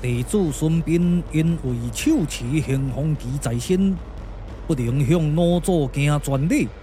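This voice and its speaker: A man voicing a solemn, courteous but unyielding Taoist commander, speaking in measured, formal declamation for a puppet-theatre radio drama. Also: a little high, animated, mellow, slight background noise. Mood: solemn, respectful.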